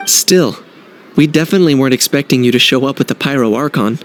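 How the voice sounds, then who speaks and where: Man playing a fast-talking village helper. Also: mid-range voice, close to an everyday voice. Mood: businesslike. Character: brisk, practical village helper. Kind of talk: anime fantasy game acting. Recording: faint background game music.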